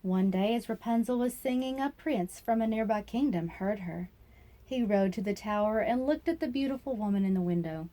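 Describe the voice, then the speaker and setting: A woman's voice: medium pitch, moderate pace, warm tone. A woman narrating a children's fairy tale as a storyteller, reading aloud expressively.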